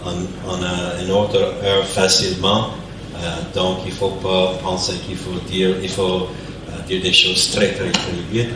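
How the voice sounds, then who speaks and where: Hesitant pastor in an echoey church. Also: male voice, low-pitched, completely flat delivery, a little slow, noisy background. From Canada, New Brunswick. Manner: hesitant, searching for words. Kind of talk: church service introduction. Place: church sanctuary. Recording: echoey church hall from far off.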